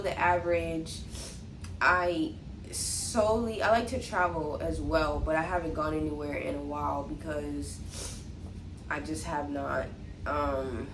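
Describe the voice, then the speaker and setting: A woman's voice: lowish voice, reverberant, noisy background. A young woman with a sniffly cold chatting casually as she answers viewer questions, with lots of likes and ums. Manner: relaxed, unhurried, many likes and ums, reads questions aloud. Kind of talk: casual Q&A chat.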